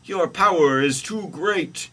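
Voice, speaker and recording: Man as pompous canine deity, raised pitch, forceful, scolding, comic storybook. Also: takes it slowly, breathy edge, full character voice. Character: grand, scolding male God in canine form.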